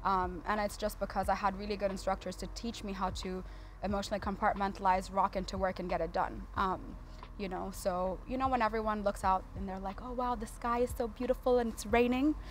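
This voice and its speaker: A woman's voice: medium pitch, fairly even intonation, fairly quick, a little hiss or hum. A woman in her twenties chatting casually and playfully as a guest on a TV talk show, poking fun at herself. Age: 20s. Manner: playful, self-deprecating. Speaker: guest, air traffic controller. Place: TV studio with sofas.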